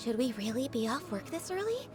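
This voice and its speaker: A woman's voice: high-pitched, very animated, brisk, over music. A woman playing a cheerful, kind, chatty young woman in a video game, earnest and encouraging. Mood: friendly, grateful.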